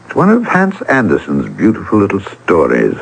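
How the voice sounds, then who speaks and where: A reflective older man spinning a story in a radio play. His voice sits fairly low and moves expressively up and down. He is theatrical and takes his time, pausing for effect. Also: huge pitch swings, gravelly, husky, full character voice, poor audio. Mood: reflective, mournful. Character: reflective older gentleman, once a starving artist, storytelling. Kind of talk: vintage radio drama acting.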